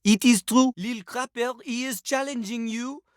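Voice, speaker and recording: A man's voice: very high voice, fairly even intonation, a little slow, dry recording, clean studio sound. A man voicing a pompous French toilet who fancies himself a master rapper in a video game, haughty and dramatic with a French accent. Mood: challenging, grandiose. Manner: haughty, dramatic, speaks of himself in third person. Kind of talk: exaggerated video game character voice acting.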